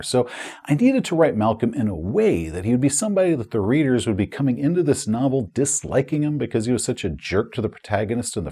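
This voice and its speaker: A man's voice: lowish voice, mellow, slightly rough. A man telling a personal retrospective as an author and channel host, in a conversational storytelling manner with frequent asides.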